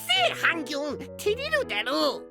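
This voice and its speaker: A performer voicing a small, grumpy and bashful creature sidekick with a gruff, curt manner in a cartoon audio drama. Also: high-pitched, huge pitch swings, moderate pace, over music, heavily acted. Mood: grumpy, embarrassed. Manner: gruff, curt, rough-mouthed.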